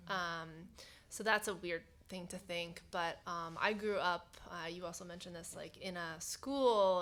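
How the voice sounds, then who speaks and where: A woman's voice: lowish voice, sharp tone. A young woman speaking reflectively on a panel, with fillers. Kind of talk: casual panel discussion.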